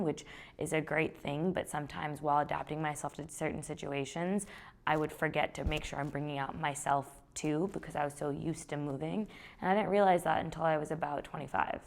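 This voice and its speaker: A woman's voice: deep voice, a little fast, vocal fry. A young woman, founder of a clothing company, talking conversationally in an interview. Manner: relaxed, conversational. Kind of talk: interview, personal story.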